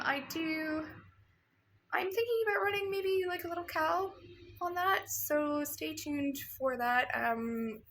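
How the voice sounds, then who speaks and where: A woman's voice: on the high side, vocal fry, a little hiss or hum. A woman from Canada with a Canadian accent, hosting a casual show-and-tell podcast from her yarn shop, chatty and full of ums. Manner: chatty, with frequent ums and soft laughs.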